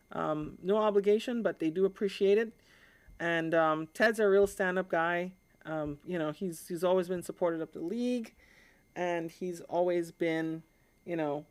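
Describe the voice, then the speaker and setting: A woman hosting a livestream in a casual, informal, conversational way, often checking in with the listener. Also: lowish voice. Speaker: live stream host, amateur radio hobbyist.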